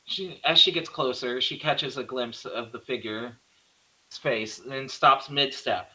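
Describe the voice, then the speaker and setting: A man's voice: mid-range voice, measured pace, pushed and bright, low-quality recording. A man narrating stage directions in an amateur screenplay table read, sometimes stumbling and correcting himself.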